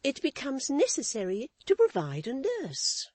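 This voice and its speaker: A woman's voice: wildly swooping pitch, a little slow, a little mellow, dry recording, exaggerated voice. A woman voicing a middle-aged wife who scolds her husband with withering, self-righteous indignation. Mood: fretful. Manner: high-pitched, withering, sharply emphatic.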